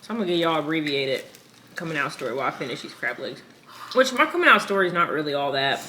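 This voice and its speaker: Young woman, playful teasing drawl, lively bright voice, casual mukbang chat. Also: sits low, fast, a little hiss or hum. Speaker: vlogger.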